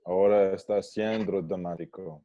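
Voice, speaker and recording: A man's voice: low voice, steady tone, a little fast, warm tone, muffled recording, natural voice. An actor playing a dramatic, outspoken young male housemate in a comedy table read. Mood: emphatic. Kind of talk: comedy script read aloud. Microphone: video call.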